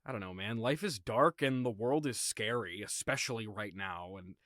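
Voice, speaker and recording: A man's voice: lowish voice, normal speed, a bit bright, no room sound. Casual man, dry close sound. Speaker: founder of an audio production company, playing himself. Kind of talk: casual conversational acting in a comedy skit.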